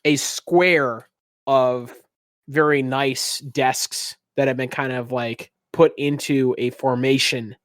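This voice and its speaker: A man's voice: mid-range voice, steady tone, slow, no room sound, clean studio sound. A man working as game master, improvising casual narration for a tabletop game.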